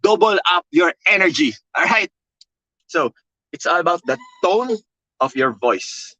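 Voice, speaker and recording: A man with a Filipino accent and a loud voice, teaching a motivational webinar with emphatic, high energy, repeating key phrases and often checking in with okay and all right. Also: expressive, unhurried, no room sound, poor audio. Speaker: content creation coach.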